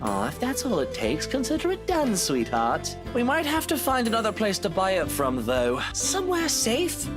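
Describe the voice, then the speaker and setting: A woman voicing a sarcastic, cocky man with a soft spot for his godchild, mocking and dryly dramatic, in a visual novel. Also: a little high, huge pitch swings, moderate pace, few pauses. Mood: fond, teasing. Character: sarcastic, cocky adult man, fond godfather. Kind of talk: visual novel character voice-over. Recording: music behind the voice.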